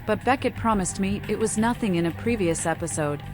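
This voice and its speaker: A woman's voice: medium pitch, brisk, over music, lightly acted, rough recording. A woman voicing a mad, childlike young vampire in a video game, speaking in giddy, cryptic, singsong riddles. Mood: playful, erratic.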